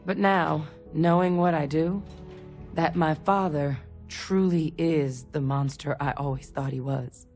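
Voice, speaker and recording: A woman playing a sincere, resolute soldier in a video game, speaking in an earnest, reflective, measured way. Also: low-pitched, darkish tone. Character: sincere, resolute female soldier haunted by her father. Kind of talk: dramatic video game dialogue.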